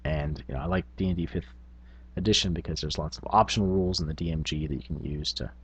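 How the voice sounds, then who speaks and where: A man's voice: very deep voice, steady tone, quick pace, husky. A man hosting a tabletop gaming vlog, talking casually in a rambling, self-effacing, unscripted way. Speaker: tabletop gaming video host.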